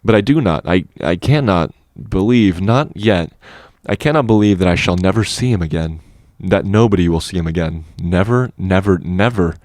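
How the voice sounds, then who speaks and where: A man's voice: low-pitched, moderate pace, few pauses, darkish tone, no room sound, studio quality, close to an everyday voice. A man voicing a grieving young woman who speaks with earnest, breaking sorrow. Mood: disbelieving.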